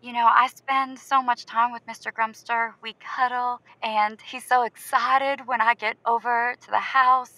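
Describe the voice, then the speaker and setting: A lovelorn caller to a comedy advice show. Her voice is high and bright, with an earnest, gushing, hesitant delivery and strong emphasis. The recording is close and dry. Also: no room sound, exaggerated voice, rough recording. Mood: wistful, emphatic. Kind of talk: improvised comedy call-in.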